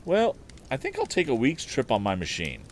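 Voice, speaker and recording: A man's voice: mid-range voice, wildly swooping pitch, normal speed, slight background noise, voice pushed up. A man voicing an earnest, determined young male inventor in a storybook reading, eager as he explains. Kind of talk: acted audiobook dialogue.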